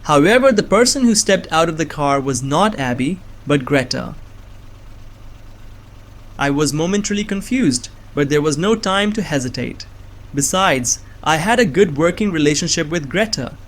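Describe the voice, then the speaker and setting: A man playing a nerdy, anxious young man on the run, narrating a suspense story in a measured, earnest way. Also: fairly high pitch, lively intonation, close to an everyday voice. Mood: tense, measured. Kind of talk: first-person scripted narration of suspense fiction. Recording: music behind the voice.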